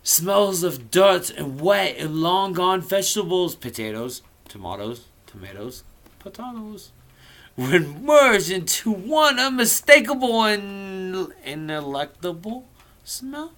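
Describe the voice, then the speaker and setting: Animated man reading aloud at an unhurried pace. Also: high-pitched. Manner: theatrical, playful, irreverent. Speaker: amateur reader of a novel. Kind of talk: casual book reading with ad-lib asides.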